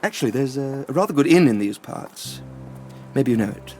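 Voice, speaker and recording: A man acting as an educated gentleman villain in an audio drama, with a mid-pitched voice. He speaks formally and precisely, his politeness edged with menace, cunning and hungry for power. Faint music sits behind him. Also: lively intonation, brisk, lightly acted. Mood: courteous.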